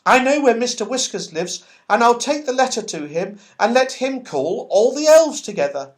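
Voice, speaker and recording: A man's voice: very high voice, sing-song, normal speed, voice pushed up. A man voicing a small, eager, brave red squirrel in a children's storybook. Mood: eager, earnest.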